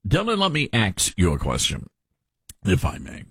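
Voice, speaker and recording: A radio host with a mild Tennessee accent, reading news aloud and adding wry asides in casual chat. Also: male voice, fairly low pitch, huge pitch swings, moderate pace, fairly bright tone, breathy edge, dry recording, studio quality. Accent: mild Southern US, Tennessee.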